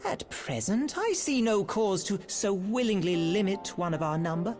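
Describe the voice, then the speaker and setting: A woman acts a composed, independent scholar in a fantasy game. Her mid-pitched voice is expressive yet deliberate and formal, with soft music behind. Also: natural voice. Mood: calm, firm. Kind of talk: video game voice acting.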